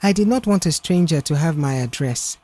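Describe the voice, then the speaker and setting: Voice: mid-range voice, animated, a little fast, warm tone, lightly acted. A narrator voicing an apologetic, desperate young woman who works as an office secretary, pleading earnestly in an audio story. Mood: remorseful, pleading.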